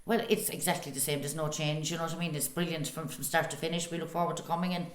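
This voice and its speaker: Fast-talking Irish woman, lowish voice. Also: steady tone, echoey room. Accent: Irish, County Cork. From Youghal, Ireland. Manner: emphatic, repetitive, tags phrases with "you know". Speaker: amateur radio drama cast member. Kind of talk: casual interview chat.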